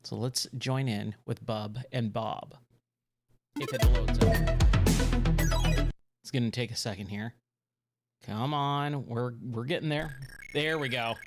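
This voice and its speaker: Hoarse, upbeat man streaming games. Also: medium pitch, takes it slowly, pauses often, music in the background. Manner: upbeat, chatty, thinks aloud while games load. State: slightly hoarse from work. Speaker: streamer and channel host. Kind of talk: casual live-stream chat.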